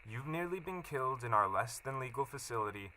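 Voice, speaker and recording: Audio drama: polite, evasive male functionary, extremely bright and harsh, hesitant, faint background noise. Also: mid-range voice, expressive, fairly quick, low-quality recording. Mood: apologetic, awkward. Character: awkward, apologetic male company official, evasive and callous. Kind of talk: naturalistic audio drama acting.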